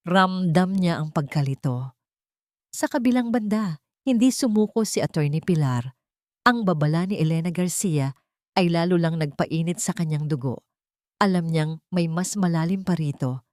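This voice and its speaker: A woman's voice: on the low side, darkish tone, no echo, studio quality. A woman reading a scripted romantic drama as a story narrator.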